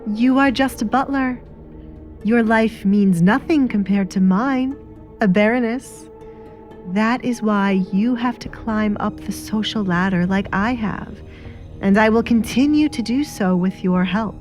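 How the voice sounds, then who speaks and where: A woman's voice: mid-range voice, measured pace, darkish tone, natural voice. A cruel, sly baroness played by a woman narrator in a dramatic audio story. She is haughty and menacing, turns mock-wounded to accuse, and speaks over background music. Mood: haughty, threatening. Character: sly, cruel, scheming baroness, a married mother.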